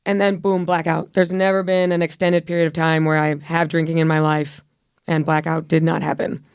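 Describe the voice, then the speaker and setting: A woman's voice: low-pitched, little pitch movement, a little fast, smooth, no room sound, clean studio sound. A young woman from Buffalo, New York, a comedian guest on a podcast, who talks in a candid, rambling way and swears freely. She says she has been losing her voice. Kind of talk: casual podcast chat.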